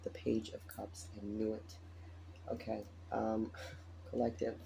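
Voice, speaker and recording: A man with a raspy morning voice, tired, chatting casually as he lays out a tarot reading and repeats his phrases. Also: lowish voice, level tone, slow pace, dark tone, a little hiss or hum, rough recording. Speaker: tarot reader.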